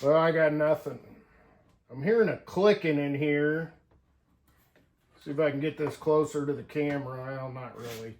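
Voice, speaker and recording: A man's voice: fairly high pitch, normal speed, long pauses, a little mellow. A man talking casually to viewers in a folksy, unscripted way, thinking aloud as he tinkers through a hands-on demonstration. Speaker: hobbyist CB radio vlogger.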